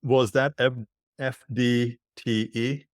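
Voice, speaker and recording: A man co-hosting a podcast, asking questions in a curious, steady way. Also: mid-range voice, slow, no echo, studio quality. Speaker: podcast co-host. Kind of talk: casual podcast interviewing.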